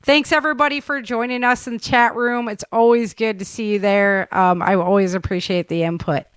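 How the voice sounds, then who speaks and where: A woman's voice: fairly high pitch, steady tone, brisk, clear, resonant tone, dry recording. A woman hosting a podcast in a chatty, informal way, moving between news rundown and casual talk. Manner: chatty, informal, addresses listeners as guys. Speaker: podcast host.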